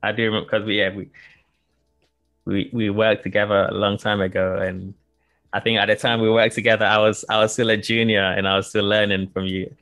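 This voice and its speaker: A man's voice: lowish voice, flat delivery, a little fast, fairly bright tone. A man hosting a podcast interview, speaking hesitantly with repeated words and fillers. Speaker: podcast host.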